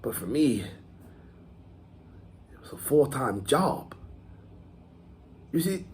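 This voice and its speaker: A man acts out a swaggering gangster telling his life story as a film monologue. His medium-pitched voice is halting and emphatic, circling back on words and leaning into the profanity. Faint noise and ambience sit behind the amateur recording. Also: very animated, a little mellow. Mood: proud, fired up. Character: swaggering nostalgic male gangster recalling his youth, foul-mouthed. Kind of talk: dramatic film monologue performance.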